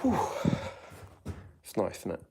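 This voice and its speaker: A British man chatting casually on a live stream while cleaning, reading out and answering viewer comments. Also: slow. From Britain. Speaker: vlogger. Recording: roomy home recording with faint noise.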